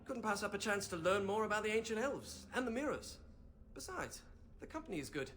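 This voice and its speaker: A man's voice: high voice, expressive, a little fast, fairly bright tone. A man playing a curious, scholarly young elf mage who explains things eagerly in a video game. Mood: fascinated.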